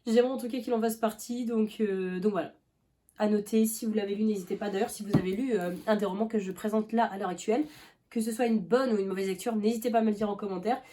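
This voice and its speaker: A woman's voice: medium pitch, steady tone, fast talker, mellow, slight background noise. A young woman vlogging about books in a loose, rambling chat, full of fillers and restarts, with a cough now and then. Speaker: book vlogger. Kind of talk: casual chat.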